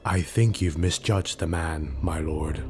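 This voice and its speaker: A man's voice: rumbling low, measured pace, noisy background, pitched below the performer's own voice. A man voicing a sly, mocking rogue retainer in an audiobook, deferential and insinuating. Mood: assured, deferential.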